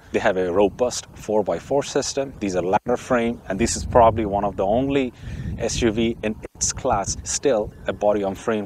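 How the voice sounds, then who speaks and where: A man's voice: on the low side, darkish tone. Chatty man from Bangladesh reviewing cars outdoors. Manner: chatty, joking, opinionated. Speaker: car channel host. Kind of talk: casual car review vlog. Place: outdoors by a vehicle, windy. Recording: faint wind and outdoor noise. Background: wind and outdoor noise.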